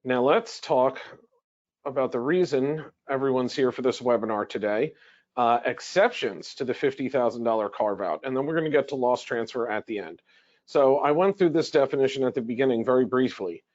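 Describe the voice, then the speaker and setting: A man's voice: medium pitch, brisk, darkish tone, lo-fi sound. A man presenting a legal webinar in a conversational, explanatory way, posing questions and then answering them. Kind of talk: webinar lecture, explaining from notes.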